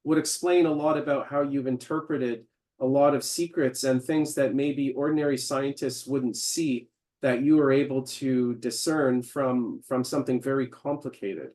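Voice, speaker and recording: A man hosting an interview over a video call, introducing his guest in a wordy, effusive way. He leans on fillers as he talks. His voice sits at an ordinary middle pitch. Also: fairly even intonation, normal speed, darkish tone. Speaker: interview host.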